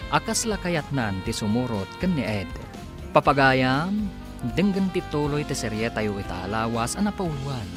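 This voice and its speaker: Casting: male radio serial narrator, Ilocano accent, lively swooping delivery over music. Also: medium pitch. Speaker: radio drama announcer and narrator. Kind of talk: dramatic scripted narration.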